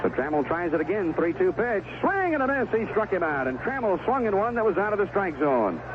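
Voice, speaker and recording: American baseball announcer on tinny vintage radio. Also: male voice, sits high, big pitch swings, a little fast. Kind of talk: live baseball radio commentary. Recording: tinny, noisy 1970s AM radio broadcast.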